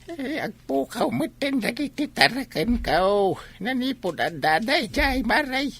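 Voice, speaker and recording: A woman acting a husky, complaining village neighbour. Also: fairly high pitch, sing-song, unhurried, a bit bright, heavily acted. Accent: Filipino. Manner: complaining, exclamatory. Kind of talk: Ilocano comedy radio drama acting.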